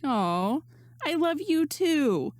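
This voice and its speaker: A woman's voice: high-pitched, very animated, very slow, dry recording, studio quality, pitched above the performer's own voice, exaggerated voice. A woman voicing a confident, breezy young woman in a story, teasing and sure of herself. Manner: teasing, assured, sarcastic.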